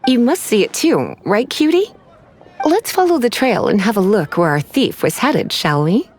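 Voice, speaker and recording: Teasing librarian lady from a game, sweet but kinda menacing, super lilting, highish voice. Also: very animated, moderate pace. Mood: teasing, instructive. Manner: coy, teasing, theatrical. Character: teasing, self-assured adult woman librarian, sweetly menacing. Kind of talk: video game voice acting. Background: faint music.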